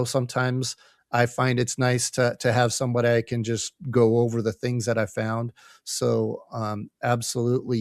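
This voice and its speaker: A man giving an earnest, personal interview as a podcast guest, speaking in a halting, reflective way with pauses and repeated words. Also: medium pitch, dry recording, clean studio sound. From United States. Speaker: podcast guest, family caregiver.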